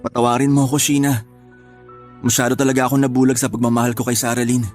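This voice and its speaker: An actor playing a gentle, heartbroken older brother in an audio drama, who speaks softly and sighs as he blames himself. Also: medium pitch, level tone, brisk, faint music behind, lightly acted. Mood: sad. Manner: soft-spoken, sighing, self-blaming. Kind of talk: radio drama acting.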